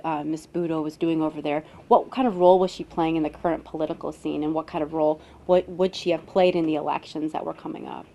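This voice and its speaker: American female news host and interviewer, lower-pitched voice, webcast delivery. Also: mellow. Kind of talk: news interview with scripted intro. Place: newsroom.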